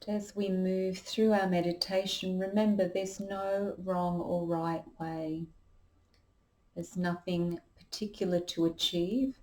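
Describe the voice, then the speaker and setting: A woman leading a guided meditation as a yoga teacher, calm and unhurried, giving gentle instructions. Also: on the low side, poor audio.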